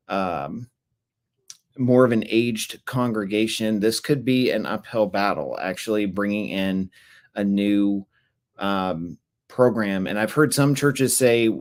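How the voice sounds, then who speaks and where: A man hosting a webinar in a casual, halting way, with many fillers and restarts, who is losing his voice. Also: lowish voice. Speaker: webinar host.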